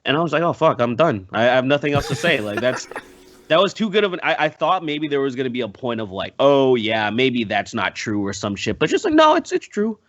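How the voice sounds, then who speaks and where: A man chats on a podcast in a mid-pitched voice that jumps around a lot. He tells stories haltingly with plenty of swearing, in dry, slightly dull audio. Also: big pitch swings, a bit bright, no room sound. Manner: profane, halting storytelling. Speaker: podcast panelist. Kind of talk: casual anecdotal chat.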